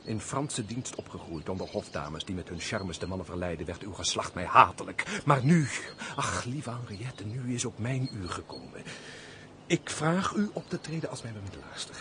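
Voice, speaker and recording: A man's voice: lowish voice, very animated, moderate pace. A man acting a lovesick aristocratic major in a Dutch radio play, florid, sighing and declamatory. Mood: lovesick pleading. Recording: noisy old radio play recording.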